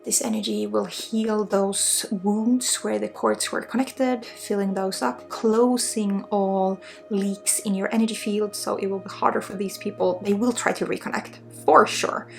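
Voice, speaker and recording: A woman from Sweden with a Swedish accent speaks as a spiritual healer in an intimate ASMR energy healing style, drifting between soft speech and breathy whispers. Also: medium pitch, steady tone, a little slow, soft background music.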